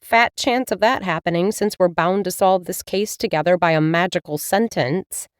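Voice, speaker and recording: A woman voicing an upset young witch, high and emotional. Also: normal speed, clean tone, dry recording, studio quality, close to an everyday voice.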